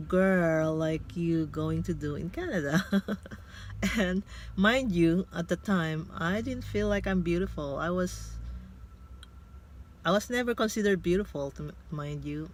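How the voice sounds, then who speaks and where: Slow, pausing woman in her fifties. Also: deep voice, a little mellow, a little hiss or hum. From Philippines. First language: Tagalog. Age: 50s. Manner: hesitant, reflective, thinks aloud with many pauses. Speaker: vlogger. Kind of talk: casual personal storytelling. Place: inside a car.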